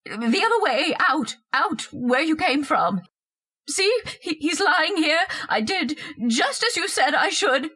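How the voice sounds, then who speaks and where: Frightened, desperate wife played by an actress: high voice, swooping intonation, hesitant, pleading, old-time radio horror. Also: female voice, expressive, slow, pushed and bright, full character voice. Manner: hesitant, repeating words, pleading. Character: frightened, compliant wife turned desperate murderess.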